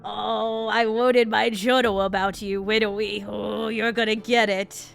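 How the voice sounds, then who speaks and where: A young woman streamer giving casual game reaction commentary in an expressive, sarcastic, slangy and sweary way. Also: mid-range voice, a little slow, creaky, faint music behind. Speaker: VTuber streamer.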